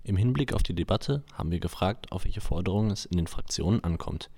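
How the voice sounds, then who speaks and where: A man reads a scripted news voice-over in a lowish voice, smooth and even. Clear background noise runs under him. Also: fast. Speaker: reporter.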